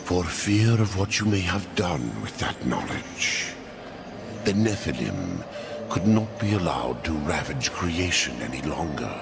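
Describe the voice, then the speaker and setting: Portentous keeper of forbidden lore: gravelly, deliberate, sweeping intonation, fantasy game drama with music underneath. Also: male voice, very animated, background noise, full character voice. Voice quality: very deep, creaky.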